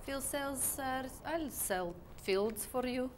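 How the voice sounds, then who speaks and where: Woman doing a naive lady job hunting, broken english, high-ish voice, faint hiss behind. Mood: earnest. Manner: broken English, halting, earnest. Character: naive divorced Russian woman job-seeker, earnest. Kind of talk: comedy sketch acting.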